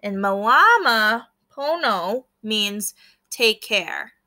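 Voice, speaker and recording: A woman teacher reading a book aloud to fourth graders, pausing to explain and prompting them to notice and wonder. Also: on the high side, lively intonation, pushed and bright. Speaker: elementary school teacher. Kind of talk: read-aloud lesson for fourth graders, explaining as she goes.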